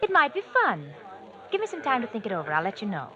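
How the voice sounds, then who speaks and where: An actress playing a bored, thrill-seeking wife who taunts coolly and teasingly in an old-time radio mystery. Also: female voice, a little high, huge pitch swings, fast, bright, forceful tone, dull audio, exaggerated voice. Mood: flirtatious, restless.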